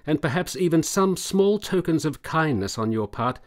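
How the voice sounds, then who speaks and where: An actor playing an earnest, persuasive military doctor in a period radio drama, formal and deliberate. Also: male voice, fairly high pitch, lively intonation, close to an everyday voice. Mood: earnest, persuading. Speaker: supporting actor.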